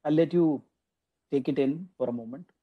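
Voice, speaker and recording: A man's voice: fairly high pitch, normal speed, husky, narrow, tinny audio. A man giving a conversational online lecture to teachers, with many restarts and "you know" asides, over a video call. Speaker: guest lecturer at a faculty development program.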